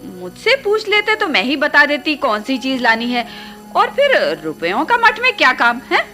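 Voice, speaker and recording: A woman's voice: sits high, wildly swooping pitch, moderate pace, pushed and bright, heavily acted. An actress playing a kindly woman of authority in a radio play, assured and instructing. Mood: brisk, chiding. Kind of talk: Hindi radio play acting. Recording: old radio play recording, faint music.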